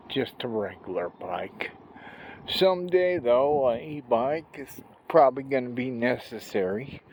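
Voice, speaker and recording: Man, gravelly voice, very slow halting speech, rambling with pauses, outdoors, phone mic, faint background noise. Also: mid-range voice, a little mellow, rough recording. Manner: rambling, many pauses and restarts. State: recovering from a stroke, slow effortful speech. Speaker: vlogger. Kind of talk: casual walking vlog. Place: outdoors on a walk. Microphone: handheld phone.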